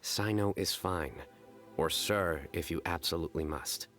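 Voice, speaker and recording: Low, deadpan, formal general. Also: male voice, unhurried, faint music behind. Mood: grave, formal. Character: stern, formal young general with deadpan humor. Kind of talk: anime game voice acting.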